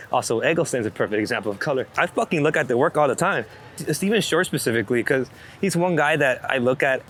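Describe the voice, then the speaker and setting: A man's voice: mid-range voice, a bit bright, a little hiss or hum. A man chatting casually in a laid-back, rambling way, swearing freely as he talks about his creative work as a photographer. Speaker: street photographer being interviewed. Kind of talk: casual chat.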